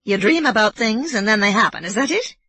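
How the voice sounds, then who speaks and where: A woman's voice: fairly high pitch, animated, a little fast, slightly rough, no room sound, voice pushed up, heavily acted. A woman voicing an irritable middle-aged man who is ill, questioning sharply. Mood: agitated. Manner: sharp, interrogating.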